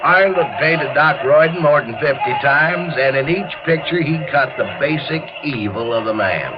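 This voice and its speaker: An actor playing a quietly decent small-town man with a folksy, understated, wry way of talking in a radio drama. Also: fairly high pitch, moderate pace, lightly acted. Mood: storytelling. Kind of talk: old-time radio drama narration and dialogue. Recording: muffled vintage radio, music behind.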